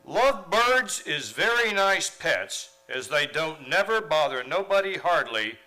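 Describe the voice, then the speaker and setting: A man's voice: fairly high pitch, big pitch swings, slow, sharp tone, exaggerated voice, rough recording. A man playing an earnest, mild-mannered biology teacher in an old-time radio comedy. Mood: earnest, dismayed.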